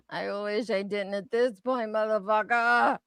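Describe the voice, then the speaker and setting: A woman's voice: mid-range voice, slow pace, fairly bright tone, no room sound. A woman doing dramatic readings of online comments with casual, irreverent, sweary banter and playful attempts at accents. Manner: irreverent, sweary, tries on rough accents for fun. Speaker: co-host reading comments aloud.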